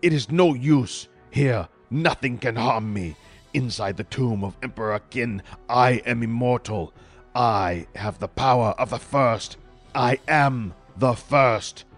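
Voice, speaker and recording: A raving, self-proclaimed immortal villain, put on by a male narrator, booming slow, drawn-out declarations far above a normal speaking voice. Soft music runs underneath. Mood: cold boasting.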